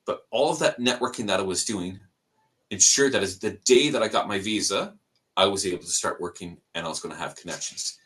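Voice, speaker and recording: Bright-voiced Canadian man telling his story. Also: low voice, fairly quick. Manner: animated, acts out quoted people in his stories. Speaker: podcast guest. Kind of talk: casual interview storytelling.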